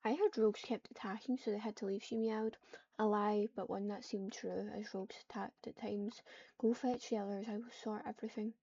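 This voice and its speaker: A woman's voice: mid-range voice, steady tone, brisk, warm tone, breathy edge. A woman playing an online game, reading out her typed roleplay and muttering casual asides to herself. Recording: dull lo-fi mic, keyboard clatter. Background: typing, clicks and noise.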